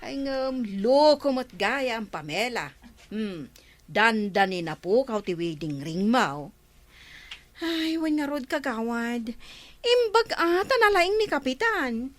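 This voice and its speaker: A woman's voice: a little high, very animated, slow pace, soft background music. A woman playing a chatty, admiring village woman in an Ilocano radio comedy, with an Ilocano accent. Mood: gushing relief.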